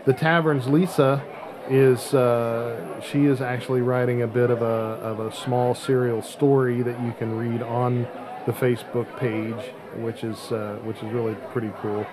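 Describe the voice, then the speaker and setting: A man hosts a casual podcast in a mid-pitched voice. There is clear chatter of other people behind him as he talks. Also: flat delivery, a little slow. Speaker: podcast host. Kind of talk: casual show hosting.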